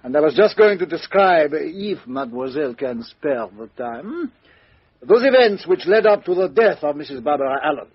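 A fussy, precise Belgian detective, his lively voice rising high and then sinking to a near hush. The tone is a little husky and dry, heard through a dull old radio recording. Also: normal speed, no echo. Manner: precise, theatrical, drops to a hush. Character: fussy middle-aged male Belgian detective. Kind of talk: 1950s radio drama acting.

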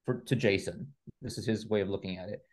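Male interview guest, fairly low pitch, conversational, deliberate explainer who qualifies each point. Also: steady tone, darkish tone. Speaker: interview guest, author and teacher.

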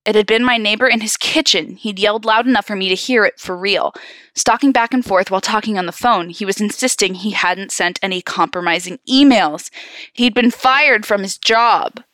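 A woman's voice: medium pitch, normal speed, dry recording. A woman podcast host reading horror stories aloud, with casual, amused asides. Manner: giggly, animated in asides.

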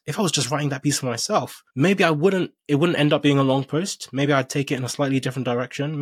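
A man's voice: medium pitch, slightly rough, no room sound, studio quality. A man hosting a podcast, thinking aloud in a reflective, conversational way with long exploratory sentences. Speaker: podcast host.

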